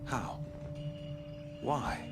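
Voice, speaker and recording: A man playing a grim, zealous champion of a sun cult in a video game, speaking solemnly and with deliberate menace over a dramatic score. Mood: brooding menace. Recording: loud music behind.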